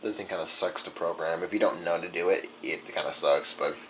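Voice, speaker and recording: A young man with a low voice talks informally, like a hobbyist showing off a collection. He rambles casually from item to item. There is some noise behind him in the room. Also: a little fast, a bit bright, poor audio. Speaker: radio collector. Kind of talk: casual show-and-tell vlog. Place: indoor room.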